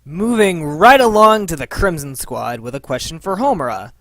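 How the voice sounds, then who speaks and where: A man presents a question segment in a very animated voice that swings widely in pitch. He is playful and theatrical, and the recording is dry. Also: normal speed, dry recording. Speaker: host of a fan Q and A.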